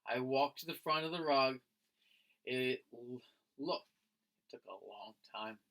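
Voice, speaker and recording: A man's voice: mid-range voice, unhurried, gravelly, a bit bright. A man reading a children's picture book aloud like a teacher, expressively and with friendly address to young listeners. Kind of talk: animated children's read-aloud.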